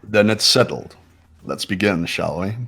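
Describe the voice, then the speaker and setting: A man's voice: low voice, measured pace, creaky, a bit bright, soft background music, full character voice. A man voicing a cold, haughty, condescending young man who gives orders in a fan-voiced visual novel game. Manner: condescending, clipped.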